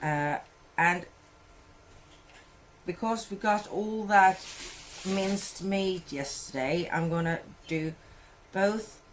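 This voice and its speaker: Slow-talking Swedish woman chatting while cooking. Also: lowish voice, a little hiss or hum. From Sweden. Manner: rambling, self-correcting, chatty. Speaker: cooking vlogger. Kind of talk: casual cooking chat. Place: home kitchen, indoors.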